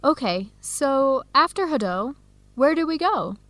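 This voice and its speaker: Casting: eager young woman student, higher voice, clear simple delivery for learners, close dry radio-drama sound. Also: very animated, unhurried, a bit bright, dry recording, exaggerated voice. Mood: upbeat, friendly. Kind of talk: scripted educational radio drama dialogue.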